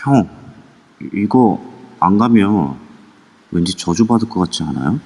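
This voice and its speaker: A man's voice: low voice, lively intonation, measured pace, creaky, a little mellow, in a large hall, full character voice. An actor playing a timid, reluctant younger man in an audio drama, talking hesitantly and drawing out his words. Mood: fearful. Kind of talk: amateur audio drama acting.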